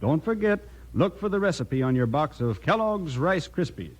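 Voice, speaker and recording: A man's voice: a little high, sing-song, moderate pace, rough recording. A man with an American accent doing folksy, enthusiastic sponsor banter in a 1950s radio style. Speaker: radio commercial pitchman.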